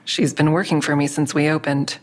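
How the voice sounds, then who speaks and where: Low-voiced, guarded woman narrator. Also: steady tone, a little fast, a little mellow, dry recording. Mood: uneasy, defensive. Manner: restrained, guarded, introspective. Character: anxious, guarded woman narrating her own interrogation. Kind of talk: first-person audio drama narration and dialogue.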